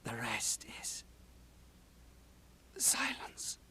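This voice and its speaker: A man playing a dying noble prince in a Shakespearean puppet play, grave and halting, his breathy voice fading into whispers. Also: bright, forceful tone, full character voice. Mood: dying, solemn. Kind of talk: classical Shakespearean stage acting in a puppet play.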